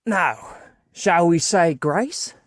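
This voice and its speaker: An actor playing a gentle, devout and loving parent of a poor family in a theatrical radio play. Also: mid-range voice, animated, very slow, no room sound, clean studio sound. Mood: reverent.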